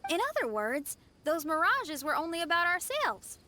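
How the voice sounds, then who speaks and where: A woman's voice: very high voice, big pitch swings, moderate pace, bright, forceful tone, slight background noise, exaggerated voice. A woman voicing a self-assured young adventurer in a video game.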